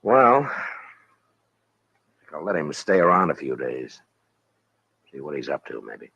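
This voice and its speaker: An American actor playing a weary, watchful frontier marshal in radio drama, measured and plain-spoken. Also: male voice, very deep voice, sing-song, creaky, pushed and bright, slightly rough, dry recording, full character voice. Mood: guarded. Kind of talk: 1950s radio drama acting. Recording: old radio recording, dull and hissy.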